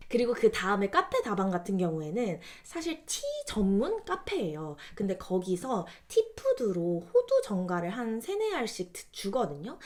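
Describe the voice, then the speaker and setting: A woman's voice: mid-range voice, darkish tone. A young woman chatting politely and casually to viewers as she explains product recommendations. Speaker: vlogger recommending gifts.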